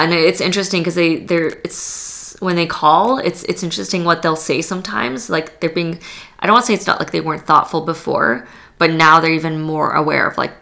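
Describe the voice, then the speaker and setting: Young woman, from Poland, low pitch, gravelly, bright, chatty with many fillers. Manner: chatty, full of "like" and restarts. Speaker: guest. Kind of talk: casual interview chat.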